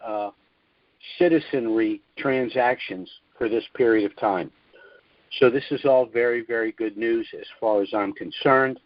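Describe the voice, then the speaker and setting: A man hosting an investment update call, explaining things informally in a measured monologue with emphasis on key words. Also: mid-range voice, no echo. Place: conference call, dry close sound. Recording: dull, lo-fi conference call audio.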